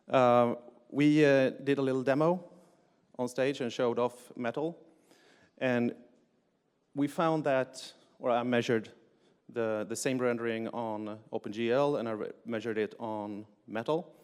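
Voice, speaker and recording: A man's voice: mid-range voice, a little mellow, clear, resonant tone. A middle-aged man from Sweden, giving a technical conference talk with many "uh" fillers and restarts. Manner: frequent "uh" fillers and restarts, plain explanatory delivery. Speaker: conference speaker, game engine developer. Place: conference hall on stage. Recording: long reverb of a lecture hall.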